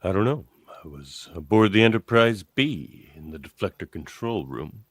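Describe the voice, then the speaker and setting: A man playing a brisk, commanding older starship captain in an amateur radio play, with theatrical emphasis. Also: sits low, lively intonation, a bit bright, no echo, studio quality. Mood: reflective, offhand.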